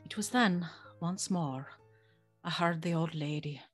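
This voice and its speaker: A woman's voice: fairly low pitch, a little slow, faint music behind, close to an everyday voice. A woman playing an anxious, spirited young servant girl who tells her tale expressively in a gothic audio drama. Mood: uneasy storytelling. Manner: expressive, breathy, nervous.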